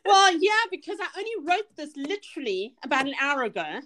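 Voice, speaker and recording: High-voiced South African woman, chatty. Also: sing-song, brisk, background noise. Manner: chatty and earnest, with many restarts and fillers. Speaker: guest, personal trainer and motivational speaker. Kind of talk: casual podcast interview.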